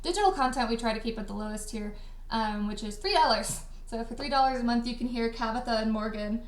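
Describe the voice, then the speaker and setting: A woman co-hosting a casual livestream chat, speaking in an informal, animated way. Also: a little high, quick pace. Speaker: host, audio drama creator and dialogue editor. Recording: echoey room.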